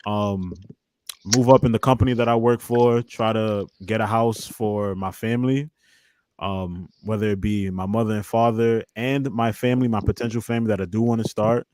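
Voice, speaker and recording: A man's voice: fairly low pitch, fairly even intonation, moderate pace, a little mellow, clear, resonant tone, dry recording. Young man in casual chat, dry sound. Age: 20s. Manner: informal, slangy speech. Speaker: guest on a relationship panel.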